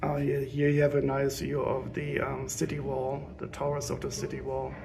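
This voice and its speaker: A man with a raspy voice and a sore throat, chatting casually with viewers on a live travel stream from a lookout tower. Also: medium pitch, monotone, normal speed, crowd noise. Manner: chatty, answering viewers while touring. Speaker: livestreamer. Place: outdoors on a tower lookout above a city.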